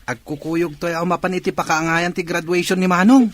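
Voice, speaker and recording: An actor playing an earnest, upbeat teenage younger brother. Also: male voice, sits high, flat delivery, brisk, natural voice.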